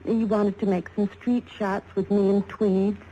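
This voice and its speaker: An actress playing a shaken but tough young American fashion model in a 1950s radio drama. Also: female voice, medium pitch, level tone, measured pace, dark tone, highs cut off, close to an everyday voice. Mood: scornful. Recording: noisy vintage radio recording.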